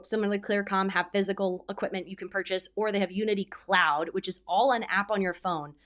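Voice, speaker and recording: A woman's voice: mid-range voice, a bit bright. A woman speaking as an event producer, giving practical advice in a casual, conversational explainer style with spoken examples. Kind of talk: casual explainer talk to camera.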